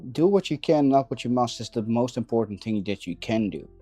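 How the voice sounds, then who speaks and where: A man chatting casually while working on a build, thinking aloud in a halting way and repeating words. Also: mid-range voice, lively intonation, warm tone, breathy edge, soft background music. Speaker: maker livestreaming a 3D printing build.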